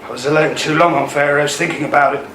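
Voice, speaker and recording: A man's voice: on the high side, steady tone, fast, a bit bright, lightly acted. A man playing an older ex-army husband in a radio play, regretful and haunted by a lost love, speaking with hesitant emotion. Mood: haunted, bitter. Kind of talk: amateur radio play acting. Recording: roomy, distant mic, faint noise.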